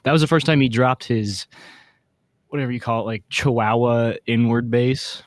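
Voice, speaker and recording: A man with a medium-pitched voice and a dry, roomless sound chats as a podcast co-host. His delivery is informal and joking, like talk among friends. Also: steady tone, normal speed, no room sound. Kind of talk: casual chat.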